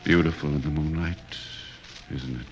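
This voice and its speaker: An actor playing a commanding, level-headed expedition captain, authoritative and measured, in a radio drama. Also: male voice, rumbling low, fairly even intonation, in a large hall, lo-fi sound, a little hiss or hum. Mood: calm, reasoning.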